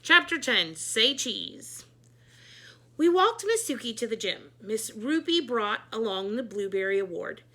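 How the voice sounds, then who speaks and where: Woman, higher-pitched, bright forceful voice, expressive children's read-aloud, animated storyteller. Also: a little slow.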